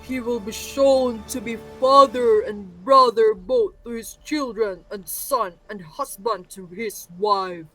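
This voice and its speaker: A performer playing a grave, defiant blind prophet in a readers' theatre tragedy, speaking deliberately and with foreboding. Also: medium pitch, a bit bright, soft background music, poor audio. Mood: ominous. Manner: deliberate, ominous.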